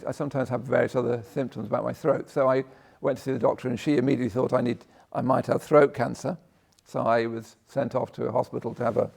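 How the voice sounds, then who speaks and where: A man with a croaky voice giving a conversational Buddhist talk, often checking in with "yeah?" and breaking off his sentences. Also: mid-range voice, darkish tone. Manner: conversational, tag questions, self-interrupting. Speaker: Buddhist teacher. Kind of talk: dharma talk. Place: meditation hall.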